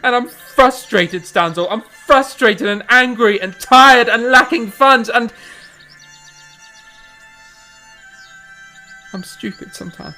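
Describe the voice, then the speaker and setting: A woman plays a young man of music with a wicked temper, torn between stammering apologies and frantic self-scolding. His words come slowly and deliberately, at a mid-height pitch. Faint music plays underneath. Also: expressive, fairly bright tone, heavily acted. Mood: remorseful. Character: hot-tempered young male composer, remorseful and self-loathing.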